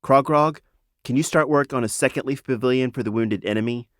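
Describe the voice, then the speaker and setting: A man's voice: medium pitch, a little fast, no echo, studio quality, voice pushed up, natural voice. Man reading as a firm commanding female healer. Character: decisive, commanding female animal healer, compassionate leader.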